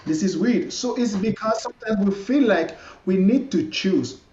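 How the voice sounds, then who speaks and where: A man with a French accent and a warm, mellow voice pitched somewhat high. He talks in a halting way, repeating words while he hunts for the next one. The sound is roomy and a little dull, like a lo-fi recording. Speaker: podcast guest, coach. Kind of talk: casual interview talk.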